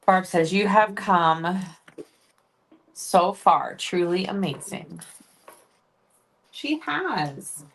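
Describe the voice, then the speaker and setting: Host: bubbly woman, animated pitch, laughs a lot, reads viewer comments aloud. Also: extremely slow, creaky, long pauses, poor audio. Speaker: host of a live sewing stream. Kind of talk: casual live-stream chat.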